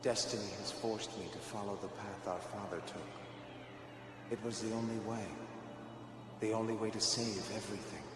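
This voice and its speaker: Actor as a low, echoing, solemn fallen Jedi. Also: male voice, little pitch movement, moderate pace, lightly acted. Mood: solemn, fateful. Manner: solemn, portentous. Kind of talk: audio drama acting. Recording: cavernous long reverb with noise.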